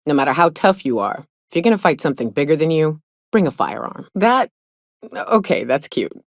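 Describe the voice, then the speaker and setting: A low female voice for a hardened huntswoman in a space frontier video game. She is gruff and blunt, with folksy turns of phrase and a foul mouth over old grief. The sound is completely dry, with no room around it. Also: sing-song, a little fast, no room sound, heavily acted. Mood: wry, reflective. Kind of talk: video game character dialogue.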